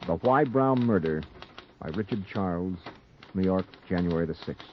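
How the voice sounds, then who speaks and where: An actor playing a haunted newspaper reporter with a secret killing, in a brooding 1940s noir radio drama. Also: male voice, low voice, expressive, a little hiss or hum. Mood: brooding, ominous. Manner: brooding, deliberate first-person storytelling. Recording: muffled 1940s radio recording, music behind.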